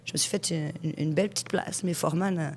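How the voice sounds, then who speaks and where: Tell it like you're chatting with a friend: you're a woman in her forties, born in Switzerland, speaking from the lower end of your range and keeping the story frank and lively. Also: fast talker, slight background noise. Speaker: guest, hypnotherapist. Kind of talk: conversational interview.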